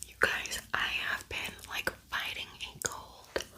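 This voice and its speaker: Slow, whispering woman doing ASMR. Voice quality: soft breathy whisper. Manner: slow, lingering, repeats words. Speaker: ASMR host doing a makeup tutorial.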